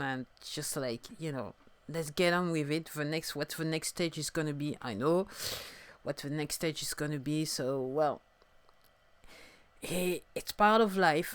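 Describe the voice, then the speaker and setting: A woman's voice: deep voice, smooth. Reflective French-accented woman in her fifties. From France. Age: 50s. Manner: halting, searching for words, soft and musing. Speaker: singer-songwriter podcaster. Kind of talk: reflective solo podcast talk.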